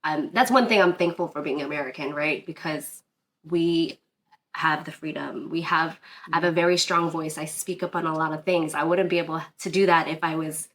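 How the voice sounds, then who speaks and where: A woman's voice: deep voice, fairly even intonation, moderate pace, slight background noise. A woman from Northern Virginia, a DJ guest, telling a personal story in a casual interview, earnest and rambling with many fillers and restarts.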